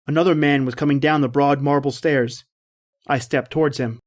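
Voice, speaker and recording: Radio adventure hero, male actor: polite, inquisitive castaway hunter, slightly raised pitch, dramatic first-person delivery. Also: fairly even intonation, normal speed, lightly acted. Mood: watchful, curious. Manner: earnest, dramatic storytelling. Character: shipwrecked male big-game hunter, polite, observant, inquisitive.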